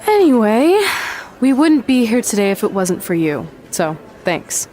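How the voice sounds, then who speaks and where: A woman's voice: a little high, very animated, unhurried, dark tone. An actress playing a sarcastic, hot-headed young woman wrestler in a video game cutscene. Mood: dry, impatient. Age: young adult.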